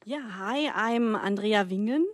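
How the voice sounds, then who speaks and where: A woman's voice: medium pitch, a little slow, dry recording. A woman from Germany with a German accent, a native German speaker reading her own short story.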